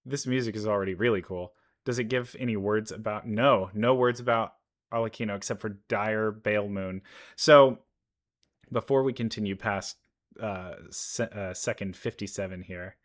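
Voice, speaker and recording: A man giving casual reaction commentary, rambling and thinking out loud as he goes. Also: lowish voice. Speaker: reaction video host. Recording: game music playing behind. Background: game trailer music.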